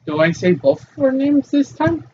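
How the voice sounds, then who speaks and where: High, lively young man, off-mic. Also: moderate pace. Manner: lively, naive questions, talks in a mid-range voice. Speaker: podcast co-host. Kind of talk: casual chat. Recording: distant lo-fi mic with faint hiss.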